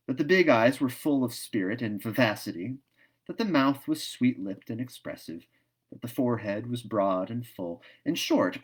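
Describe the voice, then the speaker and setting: A man narrating a classic novel as a storyteller reading aloud. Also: medium pitch.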